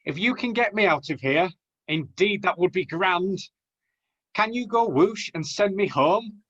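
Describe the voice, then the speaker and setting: A man's voice: high voice, expressive, unhurried, a bit bright, husky, dull audio, heavily acted. A man playing a plucky, naive young Aladdin in a rhyming pantomime, with an earnest theatrical delivery. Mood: polite, puzzled. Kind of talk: pantomime acting.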